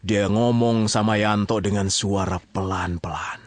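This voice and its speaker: A man's voice: fairly low pitch, fairly even intonation, a little slow, crowd noise. A man narrating a radio drama as a colloquial storyteller, chatty, theatrical and teasing.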